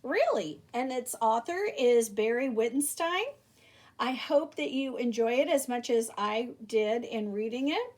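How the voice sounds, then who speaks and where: A woman reading a children's picture book aloud like a teacher, animated, with theatrical emphasis. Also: a little high, unhurried. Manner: animated, theatrical emphasis with exclamations and rhetorical questions. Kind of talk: expressive children's story read-aloud.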